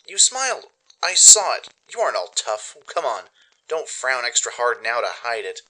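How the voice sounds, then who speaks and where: A man voicing a naive, curious young female pony who talks in an eager, excitable way in a radio play. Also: mid-range voice, normal speed, low-quality recording. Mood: delighted teasing. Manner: eager, questioning.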